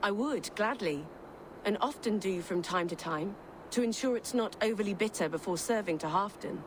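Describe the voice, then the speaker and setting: An actress playing a kindly herbalist woman who speaks politely and softly, with clear ambient noise behind her in a game. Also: mid-range voice, expressive, moderate pace, lightly acted. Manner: polite, soft-spoken, gracious.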